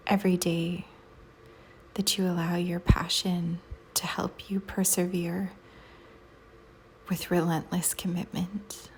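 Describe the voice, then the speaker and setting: A woman guiding a meditation in a soft, breathy near-whisper, calm and soothing, with long pauses between phrases. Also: fairly low pitch, a little hiss or hum. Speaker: meditation guide. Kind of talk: guided meditation. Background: soft music.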